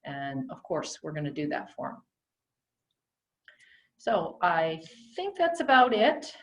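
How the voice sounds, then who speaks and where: A middle-aged woman teacher talking loudly and chattily through a face mask, walking parents around her small classroom on a handheld camera. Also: low voice, sing-song, slow pace. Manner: loud, chatty, rambling.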